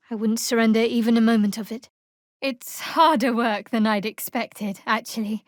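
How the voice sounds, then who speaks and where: An actress voicing a guarded, wry and devout young female cleric in a fantasy video game, with a cool, measured, dryly sardonic delivery. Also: on the high side, no echo, studio quality, close to an everyday voice.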